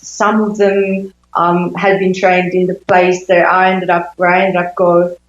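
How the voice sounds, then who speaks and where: A woman in her fifties from Sweden, a native Swedish speaker, giving reflective interview answers with thoughtful pauses in a conversational style. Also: fairly low pitch, little pitch movement, echoey room, muffled recording. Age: 50s. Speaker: interview guest, intimacy coach.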